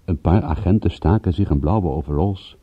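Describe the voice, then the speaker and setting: A man playing a wry private detective narrating his case in a radio drama, measured and dryly ironic. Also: deep voice, breathy edge, no echo. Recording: very muffled, dull old radio recording.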